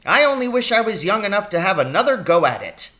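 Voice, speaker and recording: A man voicing an excitable, kindly little old country doctor in a storybook, animated and full of relish. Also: high-pitched, fast talker, voice pushed up, full character voice. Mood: kindly, curious.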